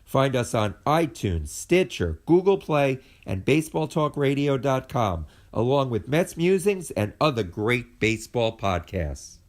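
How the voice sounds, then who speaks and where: Animated man reading a podcast promo. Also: medium pitch, a little slow, hardly any pauses. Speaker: promo announcer. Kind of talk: scripted podcast promo read.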